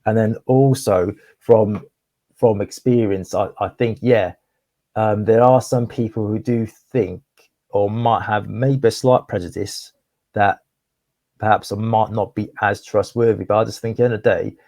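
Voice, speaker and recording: Candid young man, low dry voice. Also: fairly even intonation, a little slow, dark tone, no room sound. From Wales. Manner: candid, rambling, repeats words. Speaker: guest, property investor. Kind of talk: casual interview conversation.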